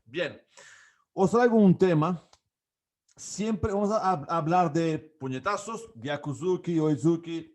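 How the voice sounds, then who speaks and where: A man in his forties speaks with a Portuguese accent, his voice sitting a little high for a man. He talks like a coach at an online class, stopping often for fillers and restarts as he works out his phrasing. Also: darkish tone. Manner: hesitant, many fillers and restarts. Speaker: karate coach and academy founder. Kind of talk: online class talk to camera.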